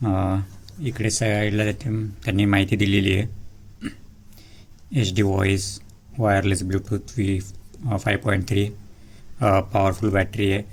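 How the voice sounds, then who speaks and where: A man from India gives a casual, unscripted product walkthrough, halting between short phrases. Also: fairly low pitch. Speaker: vlogger presenting a product unboxing.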